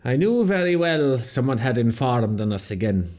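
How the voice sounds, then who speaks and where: An actor playing a grave rebel commander recalling a war, in measured, solemn radio drama narration. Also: male voice, mid-range voice, big pitch swings, darkish tone, lightly acted. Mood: reflective, grave. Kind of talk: scripted first-person drama narration.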